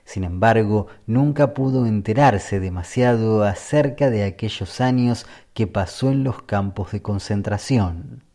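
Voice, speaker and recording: A man narrating a scripted radio feature as a reporter, with a measured, even storytelling delivery. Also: on the low side.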